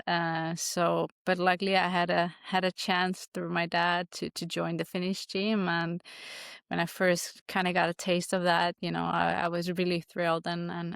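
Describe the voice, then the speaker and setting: A woman's voice: lowish voice, gravelly, clear, resonant tone, dry recording, clean studio sound. A woman from Denmark, a hockey player as a guest, answering interview questions thoughtfully with many restarts.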